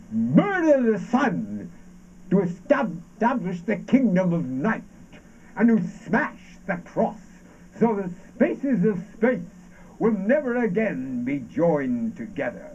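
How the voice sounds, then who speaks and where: Man acting slow, wildly swooping theatrical ranter. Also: high-pitched, wildly swooping pitch, darkish tone, husky, exaggerated voice. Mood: brooding, incantatory. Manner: halting, deliberate, dramatic swings of emphasis. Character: brooding, sardonic ranter delivering absurdist anti-war poetic monologue. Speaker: stage actor. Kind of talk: theatrical monologue from an avant-garde radio play adapted for stage. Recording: dull, noisy lo-fi stage recording.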